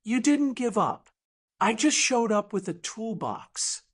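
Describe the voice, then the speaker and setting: A woman voicing an earnest, tender man, a gruff contractor in love, in an audiobook drama. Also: fairly high pitch, very animated, takes it slowly, full character voice. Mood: modest, gentle.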